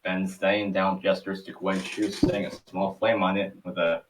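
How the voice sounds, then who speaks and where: Low-voiced man narrating a script. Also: little pitch movement, a little slow, far from the mic, a little hiss or hum, low-quality recording. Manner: stumbles over script. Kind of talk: scripted narration, table read.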